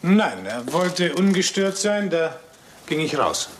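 An actor playing a polite, cultured gentleman murderer in a German radio crime drama. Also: a little high, very animated, slow pace, a little hiss or hum. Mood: polite, guarded.

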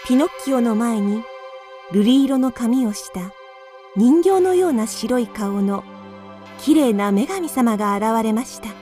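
Female narrator, calm storybook reading, slightly high voice. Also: measured pace, faint music behind.

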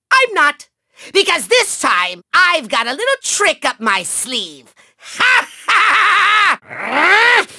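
A man voicing a small, megalomaniac cartoon villain in a video game, with a shrill, gravelly, nasal voice and bombastic, gloating, theatrical delivery. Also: sharp tone, no echo, clean studio sound, heavily acted. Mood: smug, irritated. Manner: bombastic, gloating, sneering delivery with drawn-out words.

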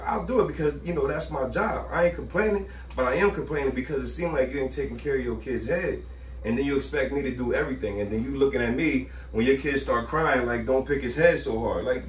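A man in his thirties, a barber talking to camera in a casual, slangy, exasperated rant with emphatic delivery. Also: medium pitch. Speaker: barber, video host. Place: small indoor barbershop room. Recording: roomy echo, distant mic, faint noise.

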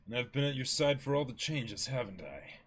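A man's voice: mid-range voice, sing-song, fairly bright tone, slight background noise. A man voicing a cold, bitter pirate villain posing as a mock-polite butler in a dramatic manga reading. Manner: slow, deliberate, mock-polite.

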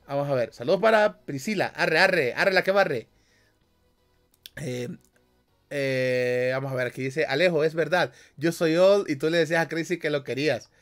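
A man's voice: a little high, moderate pace, a bit bright, faint music behind. A young man with a Honduran accent, chatting casually on a livestream from a small bedroom, reading comments with jokes, slang and frequent laughs. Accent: Honduran Spanish. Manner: joking, slangy, laughs often. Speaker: streamer. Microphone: headset with desk mic.

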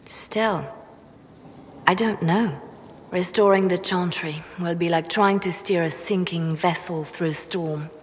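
An actress playing a hooded female spymaster in a fantasy video game, speaking in a measured, persuasive way. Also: lowish voice, long reverb tail, slight background noise. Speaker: advisor.

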